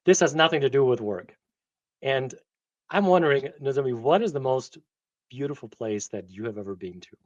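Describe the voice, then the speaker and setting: A man's voice: medium pitch, moderate pace, a little mellow, dull audio. A man from Germany who works as a leadership coach, co-hosting an earnest, enthusiastic chat with some uh fillers. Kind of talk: casual conversational chat.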